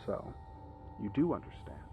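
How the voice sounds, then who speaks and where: A man's voice: moderate pace, muffled recording, soft background music, close to an everyday voice. An actor playing a grief-stricken, bitter villainous father in an audio drama, speaking in theatrical, accusatory questions. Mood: brooding.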